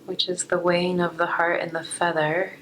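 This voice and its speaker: Female tarot reader, low register, a touch hoarse, reflective and unscripted delivery, some noise behind the voice. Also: flat delivery, moderate pace, a bit bright, poor audio. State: slightly hoarse. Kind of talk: unscripted spiritual card reading, reflective.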